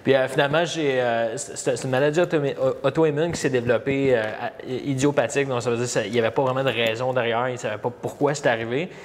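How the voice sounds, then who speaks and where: A man in his thirties from Montreal with a Québécois accent, a podcast guest who tells his story in a halting way, with many fillers and repeats. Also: medium pitch, steady tone. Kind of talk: casual interview storytelling. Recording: long reverb, faint background noise.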